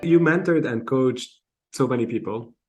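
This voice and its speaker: A man interviewing in a conversational, appreciative way, phrasing haltingly with repeated words. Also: medium pitch, music in the background.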